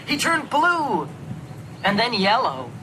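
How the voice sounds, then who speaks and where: A storyteller narrating a rhyming children's story in an expressive, theatrical, sing-song way. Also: medium pitch, slow, background noise. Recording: narration over loud music. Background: music.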